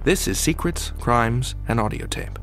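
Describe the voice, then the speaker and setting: A man's voice: mid-range voice, unhurried, soft background music. A man as podcast host reading scripted outro announcements in a friendly promo manner. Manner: friendly, even promo read.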